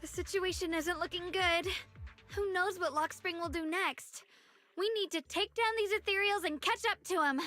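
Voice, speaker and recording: A woman's voice: extremely high pitch, moderate pace, bright, forceful tone, soft background music. An actress playing an earnest, protective young female fighter in an anime-style video game, with a determined manner. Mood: worried, determined.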